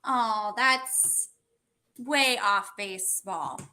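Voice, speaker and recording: A woman competing in a pun contest, talking in a slow, drawn-out, sweet way over a video call. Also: mid-range voice, lively intonation, pushed and bright. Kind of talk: pun banter.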